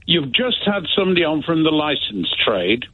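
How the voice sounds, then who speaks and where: A man's voice: a little high, very animated, normal speed, slightly rough, dry recording. A man calling a radio show who argues combatively and lectures the host. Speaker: caller. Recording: tinny phone line.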